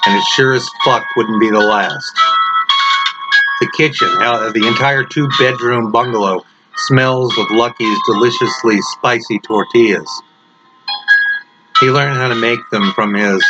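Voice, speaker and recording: A man reading his own story aloud as a dramatic narration, with dark humour and wry, deliberate phrasing. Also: medium pitch, lo-fi sound. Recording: loud music under the voice. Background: prominent background music.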